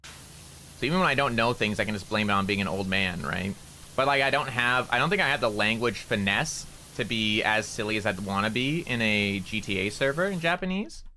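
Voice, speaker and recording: A man with a fairly low voice and a bright, forceful edge rambles to his stream while he plays. His talk is loose and casual, full of "like" and restarts, with faint ambience behind him. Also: lively intonation, brisk, slight background noise. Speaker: VTuber streamer. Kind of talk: casual stream chat while playing a game.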